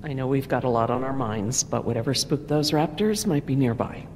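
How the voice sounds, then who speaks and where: A woman's voice: a bass voice, fairly quick, slight background noise. An actress voicing an analytical middle-aged woman scientist with a clinical, earnest manner in a video game. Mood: clinical, concerned. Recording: loud dramatic music behind voice.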